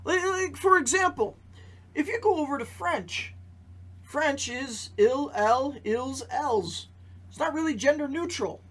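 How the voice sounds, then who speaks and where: A man's voice: very high voice, animated, unhurried, a bit bright. A man giving conversational news commentary as a podcast host, posing rhetorical questions and repeating phrases for emphasis. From Canada.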